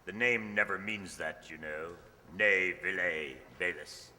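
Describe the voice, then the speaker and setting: An actor playing a bitter, sardonic young aristocrat in a melodramatic radio play. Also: male voice, deep voice, a little slow. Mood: menacing. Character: bitter, sneering young aristocrat, a wronged cousin. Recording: noisy, echoey hall recording.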